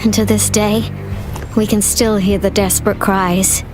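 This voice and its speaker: An actress voices a steady female military officer in a video game, worn by battle. Her delivery is grave and firm. Clear music runs behind her. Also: mid-range voice, unhurried, close to an everyday voice. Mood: grim. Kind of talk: video game story dialogue.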